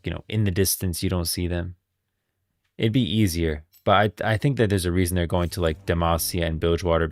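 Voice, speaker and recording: Deep-voiced man chatting on a stream. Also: dry recording. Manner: rambling, thinking aloud. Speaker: livestream host. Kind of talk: casual livestream chat about game lore.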